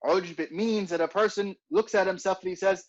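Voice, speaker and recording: A man's voice: high voice, steady tone, a little fast. A man teaching an informal religious class in a conversational way, repeating his points and often asking "right?". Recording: dull lo-fi recording.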